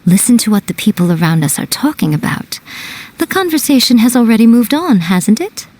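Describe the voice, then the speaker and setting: A woman voicing a sly fox-spirit shrine priestess in a game dub, smooth, playful and teasing. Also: fairly high pitch, sing-song, a little fast, a little mellow. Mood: coaxing.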